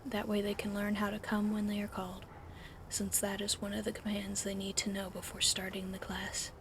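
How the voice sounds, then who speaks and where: A woman speaking in a soft, coddling ASMR whisper, gentle and soothing. Her voice has a gravelly, fried texture at a middle pitch, and a steady fan hum sits clearly behind her. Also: normal speed. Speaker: ASMR roleplay narrator. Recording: audible fan hum in background.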